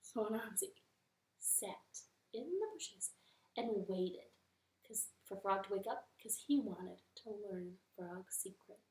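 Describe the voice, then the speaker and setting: A woman library storyteller reading a children's story at home, animated, with playful asides to her listeners. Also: mid-range voice, slow, reverberant, poor audio. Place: living room at home.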